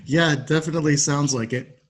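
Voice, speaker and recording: A man's voice: on the high side, fairly even intonation, brisk. A man, politely hosting a podcast interview. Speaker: podcast host.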